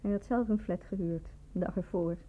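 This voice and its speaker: An actress in a Dutch radio play, playing a bitter, defensive woman who is being questioned, with a husky, dramatic delivery. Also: fairly low pitch, fairly quick, close to an everyday voice. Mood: subdued, bitter. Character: bitter, evasive woman under questioning about a poisoning. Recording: dull, lo-fi radio play recording.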